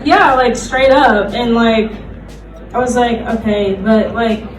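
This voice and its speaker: A woman's voice: a little high, takes it slowly, over music. A woman panelist chatting casually, candid and slangy. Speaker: panelist, comic producer. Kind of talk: casual chat. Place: convention panel room. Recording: echoey, distant, crowd behind.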